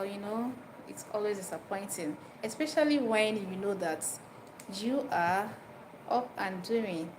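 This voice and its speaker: A woman with a Nigerian accent talks to her live viewers in an informal, instructive way. Her voice sits at a medium pitch, and she often repeats a phrase or turns it into a direct question. The recording has clear ambient noise behind her. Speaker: livestream host giving dental health advice. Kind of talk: informal livestream talk, chatting with viewers. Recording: noisy live stream with background ambience.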